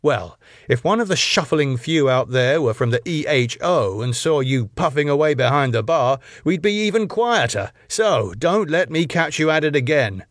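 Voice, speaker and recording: A man's voice: a little high, animated, moderate pace, few pauses, no room sound, clean studio sound, pitched above the performer's own voice, natural voice. A man voicing an exasperated, long-suffering middle-aged bar manager in a script reading, wordy and sarcastic.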